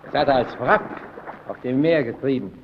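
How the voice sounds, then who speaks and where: An actor playing a guarded, brooding lighthouse technician in an old radio play, terse, evasive and defensive. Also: male voice, medium pitch, animated, normal speed, lightly acted. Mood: reticent, uneasy. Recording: dull, noisy old radio recording.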